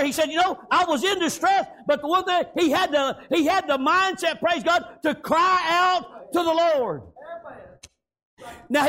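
Male preacher, very high strained pitch, impassioned revival sermon, shouted exhortations, frequent amens. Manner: punctuates phrases with amen and praise God. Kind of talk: fervent revivalist church sermon.

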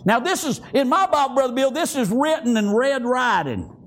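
A man's voice: very high voice, big pitch swings, normal speed. An older man with a Southern American accent preaching a fervent, folksy church sermon, punctuated with frequent amens and exclamations of praise.

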